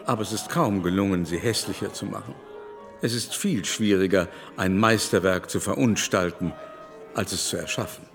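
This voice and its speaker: An actor playing a chattering, haughty aristocratic aesthete in a radio drama, with an affected, pompous manner. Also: male voice, deep voice, moderate pace, vocal fry. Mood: disdainful, pontificating. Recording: long hall reverb, faint music.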